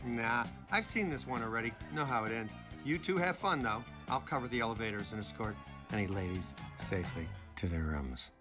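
An actor playing a sardonic, flirtatious male ghost hunter in a comic video game, deadpan and wisecracking. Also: mid-range voice, fairly quick, natural voice. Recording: loud music behind the voice.

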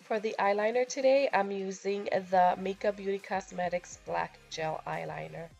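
A woman's voice: medium pitch, fairly even intonation, moderate pace, gravelly, darkish tone, lo-fi sound, faint music behind. A young woman with a nasal voice from a cold, talking casually through a makeup tutorial voice-over. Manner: casual, chatty, a little crude. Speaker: beauty vlogger.

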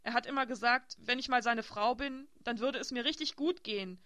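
A woman reading a historical novel, voicing a young woman who pleads in a high, heated voice. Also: fairly quick, fairly bright tone, dry recording, studio quality, close to an everyday voice. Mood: insistent. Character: young woman, tearful then furious.

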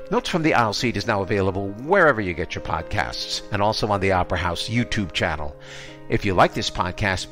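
An older American man hosts a podcast in a low-pitched voice. He delivers his words like prepared announcements for listeners. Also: fairly bright tone. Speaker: podcast host.

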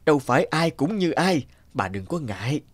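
An actor playing a gentle, sorrowful elderly father in a cartoon, with a halting, plaintive delivery. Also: fairly high pitch, sing-song, no echo. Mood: sad, resigned. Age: older. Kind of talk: cartoon voice acting.

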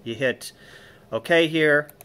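Guy, crisp bright voice, casual gear walkthrough filmed handheld, bit hesitant. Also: fairly high pitch, takes it slowly. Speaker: amateur radio hobbyist. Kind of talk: informal equipment walkthrough. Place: indoor room with equipment on folding tables. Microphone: handheld phone or camera he is holding.

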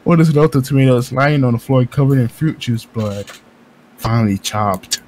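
A man reading game narration aloud in a casual group playthrough, jokey, excitable and prone to swearing. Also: medium pitch, moderate pace. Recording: music under the voice. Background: game music.

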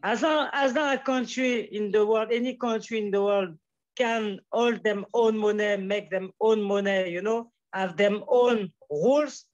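Man, halting English, dull audio. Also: high-pitched, fairly even intonation. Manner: halting, searching for English words, Jamaican turns of phrase. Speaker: guest musician. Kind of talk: casual conversational interview.

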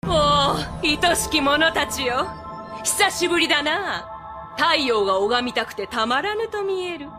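A woman's voice: sits high, expressive, unhurried, music in the background. A woman voicing a proud, regal pony princess in a dubbed cartoon, with pompous, archaic speech. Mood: menacing, mocking.

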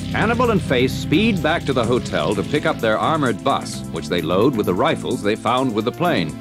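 Guy narrating a kids action story tape, very animated, loud music behind. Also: lowish voice. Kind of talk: scripted children's adventure story narration. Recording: old story cassette, music underneath.

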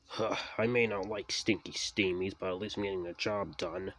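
A man's voice: low-pitched, steady tone, moderate pace, a bit bright. Man voicing grumpy, snide diesel engine. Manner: grudging, grumbling.

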